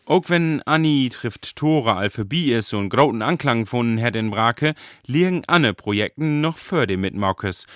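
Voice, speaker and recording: A man with a Northern German, Low German accent narrating a scripted radio feature as its reporter. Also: expressive, moderate pace, a bit bright, dry recording, clean studio sound. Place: radio studio.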